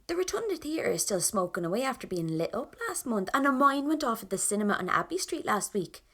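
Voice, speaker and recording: An actress with a Dublin accent playing a defiant young rebel woman of the 1920s, telling her story in a colloquial, wry, dramatic way. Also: medium pitch. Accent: Irish, Dublin. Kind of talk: first-person audio drama narration.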